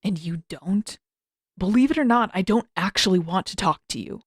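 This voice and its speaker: A woman's voice: mid-range voice, animated, normal speed, husky, dry recording. A woman voicing a guarded, grumpy teenage prince in a fantasy story, terse and dry in manner. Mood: scornful.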